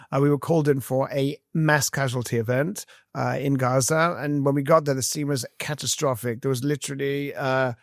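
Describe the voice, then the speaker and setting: A man's voice: mid-range voice, no room sound, clean studio sound. A man in his fifties with a mix of English and South African accent, telling his story in an interview, earnest and full of fillers. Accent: mixed English and South African. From South Africa and England. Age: 50s. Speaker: guest, army medic and educator.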